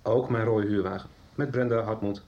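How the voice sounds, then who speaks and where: An actor playing a shaken accomplice who reports a fatal crash in short, tense sentences. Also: male voice, fairly low pitch, steady tone, normal speed, muffled recording, lightly acted. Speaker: character in a radio soap. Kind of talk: 1990s radio drama acting.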